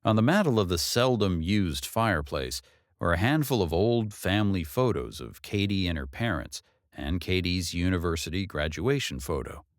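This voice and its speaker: A man narrates a work of fiction in a low-pitched voice. His measured reading is expressive and moves freely up and down in pitch. The sound is dry, with very little room on it. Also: dry recording. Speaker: audiobook narrator. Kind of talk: scripted narration of a novel.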